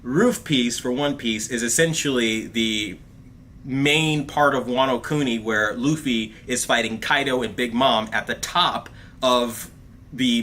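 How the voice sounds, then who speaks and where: A man chats with his livestream audience in a loose, animated manner, full of fillers and friendly asides. His voice is bright and forceful, and the recording has a faint bed of background noise under it. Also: mid-range voice. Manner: chatty and animated, lots of fillers, calls viewers bro and dude. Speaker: host of a TV review livestream. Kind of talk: casual livestream chat reading viewer comments.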